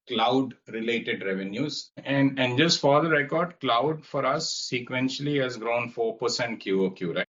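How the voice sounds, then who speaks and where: A man's voice: fairly low pitch, fairly bright tone. A man speaking as a company executive on an earnings call, formal and assured, with emphatic repetition. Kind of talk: earnings call remarks and answers to analysts. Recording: dull, band-limited conference call audio.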